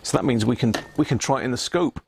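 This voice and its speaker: Low creaky voiced guy doing a casual electronics stream, kinda muttering to himself step by step, bit of background noise. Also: fast talker, darkish tone. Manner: muttering step by step, thinking aloud. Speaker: livestream host demonstrating radio repair. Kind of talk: casual hands-on electronics demonstration. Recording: clear background noise with clicks.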